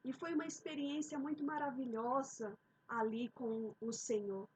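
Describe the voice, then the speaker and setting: A woman preaching a sermon, opening with a fervent prayer and often addressing her listeners warmly. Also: high voice, steady tone, normal speed, breathy edge, rough recording. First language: Portuguese. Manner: fervent, repeated "queridos" and "ó Pai".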